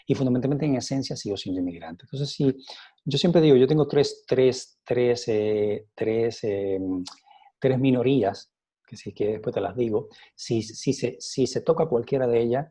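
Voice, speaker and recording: A middle-aged Cuban man, a scientist, answering interview questions in a halting way with many fillers and repeated words, his accent softened by years in Spain. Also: medium pitch, breathy edge. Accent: Cuban Spanish, softened by years in Spain. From Cuba. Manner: animated, rising emphasis on key points.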